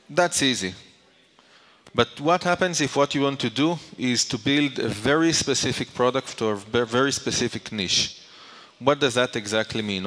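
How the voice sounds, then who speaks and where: A man's voice: medium pitch, a bit bright. Man presenting in a reverberant hall. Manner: explanatory, poses questions then answers them. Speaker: conference speaker. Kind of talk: conference presentation to an audience. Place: large conference hall. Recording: reverberant hall, long echo.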